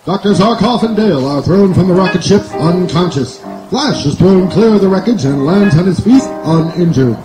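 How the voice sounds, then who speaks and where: Casting: male actor as dramatic serial announcer, raised pitch, urgent, live roomy sound with music underneath. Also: close to an everyday voice. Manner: urgent, theatrical. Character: excited old-time radio serial announcer. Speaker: narrator. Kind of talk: dramatic scripted radio serial narration. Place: outdoor woodland stage at night. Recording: echoey live stage over music.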